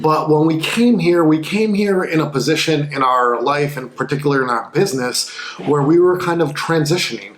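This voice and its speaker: A man's voice: fairly high pitch, lively intonation, normal speed, roomy sound. Earnest man co-hosting a vlog. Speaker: vlog co-host. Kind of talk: casual chat.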